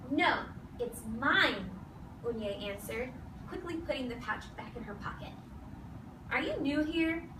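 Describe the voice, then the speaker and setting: A high-pitched woman speaks as an elementary teacher, heard from across a noisy room. She gives firm, short instructions and repeats key phrases on purpose as she leads a read-aloud for small children. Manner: firm, directive, with short commands; deliberate repetition of key phrases. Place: classroom full of seated children. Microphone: distant camera across the room. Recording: echoey, noisy classroom recorded from far off.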